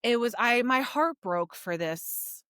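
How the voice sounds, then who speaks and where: A woman's voice: a little high, animated, slow pace, fairly bright tone, clear, resonant tone, no echo, clean studio sound. A woman co-hosting a podcast, recapping a story in an informal, conversational way. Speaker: podcast co-host. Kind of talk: casual book discussion, recapping a story.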